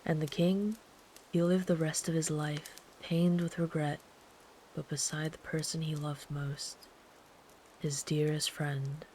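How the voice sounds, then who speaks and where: A woman plays a kind traveler soothing someone to sleep in a bedtime ASMR roleplay. The voice is gentle and protective, often sinking into a whisper, with slow pacing and a very even, narrow pitch. A faint hiss sits under the recording. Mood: hushed, storytelling. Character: kind, protective traveler comforting a runaway by a campfire.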